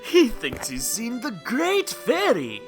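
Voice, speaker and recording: A scornful skeptic from a fantasy audio drama, played by an actor, forever exasperated by fools. The sarcasm comes slowly, with big swings of pitch, over a music bed. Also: wildly swooping pitch, exaggerated voice. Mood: mocking. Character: scornful, mocking skeptic, quick to ridicule fools.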